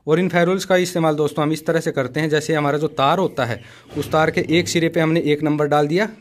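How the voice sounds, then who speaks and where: Male tutorial host, slightly high voice, methodical and instructive hands-on explainer. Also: steady tone, fast. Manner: instructive, methodical, often addresses viewers as friends. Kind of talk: practical how-to explanation to camera.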